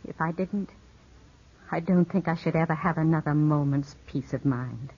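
Elegant guarded widow in an old radio play, husky breathy voice, muffled sound. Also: female voice, sits low. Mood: sorrowful, soothing. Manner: poised, measured, earnest. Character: elegant, composed widow, gently sorrowful and guarded. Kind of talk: 1950s radio drama acting. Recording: dull, muffled 1950s radio broadcast.

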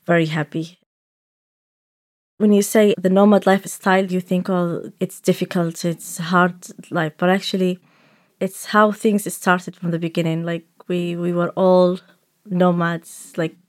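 A young woman filmmaker whose first language is Arabic, telling her own story in a reflective way, often pausing on "like" and restarting. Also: fairly low pitch. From Saudi Arabia. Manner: reflective, with frequent "like" fillers and restarts. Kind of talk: personal storytelling. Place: radio studio.

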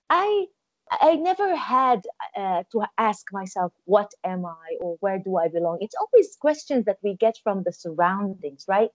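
A woman's voice: mid-range voice, no echo, lo-fi sound. A woman in her forties from Israel, a musician and actress, answering interview questions in a thoughtful way with frequent restarts. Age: 40s. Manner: thoughtful, warm, with soft laughs and frequent restarts.

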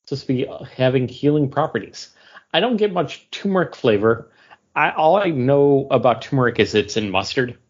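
A man co-hosting a podcast, talking casually in a hesitant, halting way. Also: mid-range voice, animated, husky, highs cut off. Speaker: podcast co-host. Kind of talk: casual drink review.

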